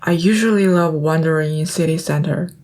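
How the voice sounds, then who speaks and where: A woman's voice: sits low, brisk, darkish tone. A young woman student narrating her own audio piece in a scripted, earnest, reflective way. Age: young adult.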